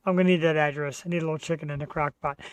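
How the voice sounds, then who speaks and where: A man's voice: fairly high pitch, steady tone, racing, clean tone, dry recording. A man hosting his own podcast, talking casually in an earnest, rambling, self-deprecating way. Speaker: podcast host. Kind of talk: casual chat.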